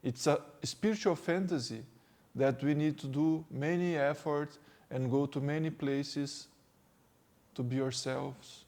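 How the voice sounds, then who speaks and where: A man from Brazil with a Brazilian Portuguese accent, speaking English as a second language and giving a calm spiritual talk as a teacher, slow and gentle with pauses. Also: fairly high pitch. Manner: slow, gentle, with pauses and non-native phrasing. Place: sitting indoors.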